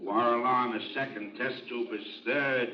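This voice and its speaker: An actor playing an impassive race caller, reading results in a clipped, matter-of-fact list over a loudspeaker. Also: male voice, medium pitch, steady tone, unhurried, a crowd in the background. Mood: businesslike. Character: impassive racetrack results caller, adult man. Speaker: race announcer. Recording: echoey, distant, muffled loudspeaker sound.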